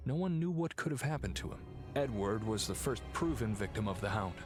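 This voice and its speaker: An actor playing a polite, serious gentleman heir in a video game, explaining things in a courteous, earnest way. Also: lowish voice, lively intonation, fairly quick, over music, close to an everyday voice. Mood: grave. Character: Sir Henry, polite, serious male heir of cursed family. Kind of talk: video game dialogue acting.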